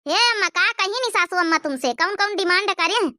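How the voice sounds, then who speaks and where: Casting: woman as worried young cartoon wife, Awadhi accent, very high bright voice, plaintive delivery. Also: animated, a little fast, dry recording, studio quality, heavily acted. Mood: concerned. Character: anxious young wife, devoted to her parents. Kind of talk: cartoon comedy dialogue.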